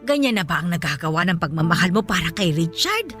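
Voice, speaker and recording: A woman's voice: lowish voice, very animated, quick pace, fairly bright tone, faint music behind. A woman acting a blunt, unfazed older folk healer in a Filipino radio drama, folksy and scolding. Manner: folksy, scolding, matter-of-fact.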